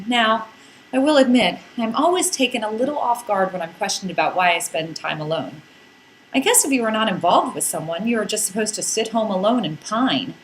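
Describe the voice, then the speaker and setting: A woman's voice: fairly high pitch, a little hiss or hum, low-quality recording. A woman narrating a scripted first-person comic story in a playful, wry, theatrical way with dramatic emphasis. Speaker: podcast narrator reading a blog.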